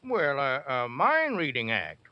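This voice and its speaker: An actor playing a pompous, smooth-talking small-town squire and swindler in an old-time radio comedy, wheedling and wordy. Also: male voice, mid-range voice, wildly swooping pitch, a little slow. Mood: flustered. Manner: wheedling, wordy, grandiose showman's patter. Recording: muffled old radio recording with hiss.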